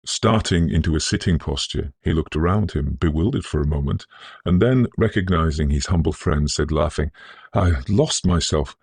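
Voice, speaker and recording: A man narrating a Victorian ghost story in a measured, scholarly storytelling manner. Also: rumbling low, creaky, a little mellow, breathy edge, no echo.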